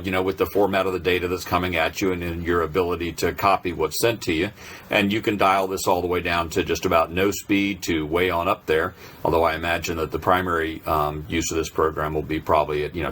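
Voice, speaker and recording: A man's voice: low voice, fairly bright tone, slight background noise. A man narrating an informal, unscripted tutorial, explaining step by step in a hesitant way. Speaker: presenter of a software tutorial.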